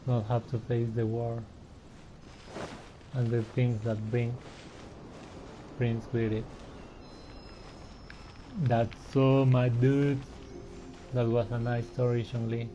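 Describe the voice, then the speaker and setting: A man's voice: medium pitch, flat delivery, takes it slowly, long pauses, noisy background. A man giving casual gameplay commentary, informally talking to himself and to the game's characters. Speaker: game streamer. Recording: dull, band-limited sound with game music. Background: clear game music.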